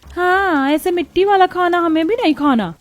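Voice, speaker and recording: A woman's voice: very high-pitched, normal speed. A woman voicing a haughty, scornful rich modern neighbour in a cartoon, snobbish and mocking in manner. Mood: disgusted.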